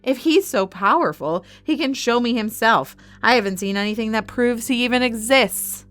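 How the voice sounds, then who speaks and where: A woman's voice: a little high, animated, normal speed, fairly bright tone. A woman acting a proud, defiant arena boss. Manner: firm, challenging, self-assured. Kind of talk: audio drama acting. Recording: music under the voice.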